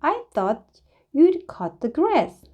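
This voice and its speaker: A breezy little storybook miss, put on by a woman reader, pitched high and lilting. She is casual and apologetic, as someone who is forever running late. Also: sing-song, slow, a little mellow, dull audio, exaggerated voice. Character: breezy, apologetic, always-tardy little female storybook character.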